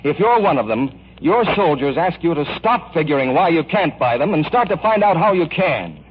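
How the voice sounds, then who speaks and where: A man's voice: on the high side, very animated, moderate pace, husky. A man working as a radio announcer, reading a scripted 1940s public appeal. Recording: muffled, hissy old radio broadcast.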